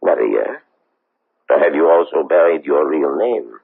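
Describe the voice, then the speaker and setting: A dogged, formal Nazi hunter from a vintage radio play. His voice sits extremely low and husky, with animated swings in pitch as he coolly probes and insists. It all comes through a thin, tinny radio recording. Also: full character voice. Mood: pressing, insistent. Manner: formal, deliberate, probing. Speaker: investigator seeking a war criminal's extradition. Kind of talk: 1970s radio drama acting. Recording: tinny, band-limited old radio broadcast.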